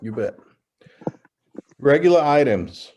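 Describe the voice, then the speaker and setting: Mellow man chairing a meeting. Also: medium pitch, animated, takes it slowly, lo-fi sound, a little hiss or hum. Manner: brief, procedural.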